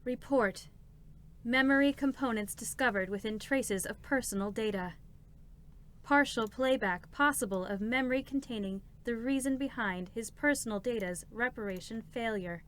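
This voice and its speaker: A woman voicing a formal, unfeeling support robot, with clipped, procedural, deadpan delivery in a dramatic reading. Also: fairly high pitch, moderate pace, lightly acted. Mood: impassive. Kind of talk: dramatic reading of game characters. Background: music.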